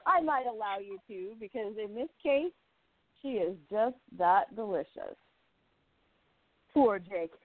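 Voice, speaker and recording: A woman's voice: medium pitch, animated, slow pace, pauses often, no room sound, narrow, tinny audio. A woman playing a catty 1920s gossip columnist, thin audio. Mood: arch, gossipy. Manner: arch, theatrical, savoring words. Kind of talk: radio play narration and dialogue.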